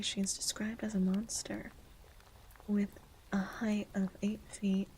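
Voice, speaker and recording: Mellow whispering woman, low register. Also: a little hiss or hum, low-quality recording. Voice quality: feminine voice on the low end of the register. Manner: soft unvoiced whispering. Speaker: streamer. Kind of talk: whispered ASMR chat and legend reading.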